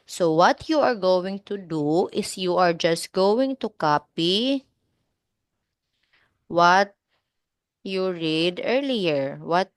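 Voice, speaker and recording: Slow, patient woman teacher. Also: fairly low pitch, expressive, clean tone, dry recording, studio quality. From Philippines. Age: 20s. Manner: patient, encouraging, explains step by step. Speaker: online English teacher. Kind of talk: one-on-one online English lesson. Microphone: video call.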